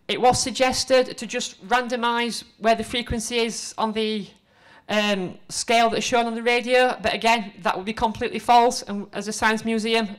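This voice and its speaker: A middle-aged woman giving an informal conference presentation into a handheld microphone, conversational, halting and self-deprecating. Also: a little high. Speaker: conference speaker, museum exhibit developer. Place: indoor presentation room before a seated audience.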